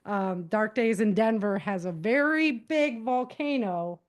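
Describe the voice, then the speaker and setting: An American woman talks like an author on a podcast, recounting stories in a loose, conversational style. Her delivery is animated and informal, at a middle pitch for a woman. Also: unhurried. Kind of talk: casual spoken summary of own novels.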